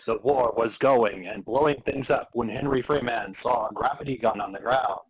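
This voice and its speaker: A man's voice: mid-range voice, normal speed, a crowd in the background, low-quality recording. A man co-hosting a comedy podcast, reading aloud theatrically and chatting casually, often amused. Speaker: podcast co-host. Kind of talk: dramatic reading of fan fiction and casual banter.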